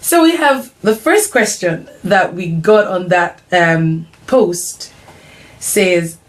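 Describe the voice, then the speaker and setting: A woman's voice: on the low side, animated, slow pace. Chatty, upbeat woman host. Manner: chatty and upbeat, playful teasing, frequent ums. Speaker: host of an online mums' group show. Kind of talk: casual chat, interviewing her son.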